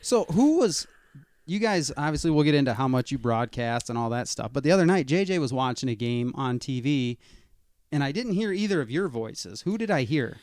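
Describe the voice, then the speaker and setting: Man, podcast host, mid pitch, energetic showman delivery, dry close-mic studio. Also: brisk, no echo. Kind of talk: casual podcast hosting. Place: small studio.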